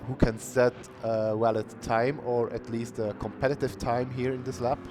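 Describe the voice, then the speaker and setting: Man calling a sim race qualifier, steady and plain, over background noise. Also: medium pitch. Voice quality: calls himself monotonous. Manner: unhurried, plain-spoken, bursts of cheering. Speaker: race commentator. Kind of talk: live sim racing commentary. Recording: noisy stream audio.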